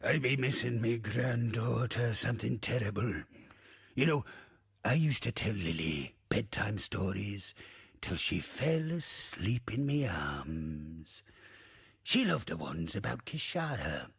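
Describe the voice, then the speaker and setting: Game casting: bawdy old dead sailor, very raspy, swooping delivery, brogue, wistful streak. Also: male voice, fairly low pitch, animated, slow pace, no room sound, studio quality, full character voice. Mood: wistful, fond. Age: older. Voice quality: gravelly, husky, rasping. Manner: theatrical, salty archaic pirate talk, swooping and drawling. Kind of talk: video game character monologue.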